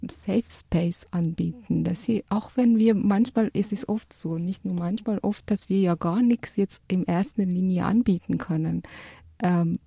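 Woman, counselor, gravelly voice, mellow, hesitant, thoughtful. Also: medium pitch, dry recording. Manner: thoughtful, hesitant, restarts with many fillers. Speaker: guest, counselor at a support center. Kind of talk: radio talk guest.

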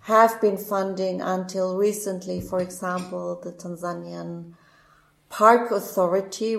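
A woman's voice: lowish voice, vocal fry. A woman from Europe answering interview questions in an earnest, explanatory way. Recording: echoey room.

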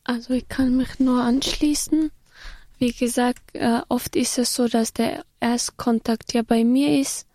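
Guest, woman, slow and flat, mellow, careful with fillers, somewhat high. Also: a little hiss or hum. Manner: simple sentences, many fillers, careful. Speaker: guest, first-contact worker. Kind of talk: radio talk guest.

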